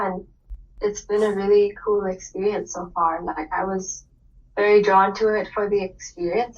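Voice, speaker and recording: A young woman from Hong Kong, a university student and research assistant, chatting upbeat about her program. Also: medium pitch. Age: young adult. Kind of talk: casual self-introduction. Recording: distant, dull online call audio.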